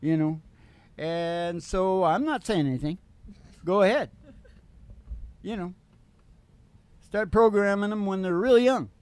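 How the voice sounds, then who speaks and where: A man's voice: sits high, slow, pauses often, darkish tone, clean tone, no room sound. A man telling folksy, irreverent comic stories as a radio show host, in a rambling way. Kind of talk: folksy comedic storytelling monologue over music.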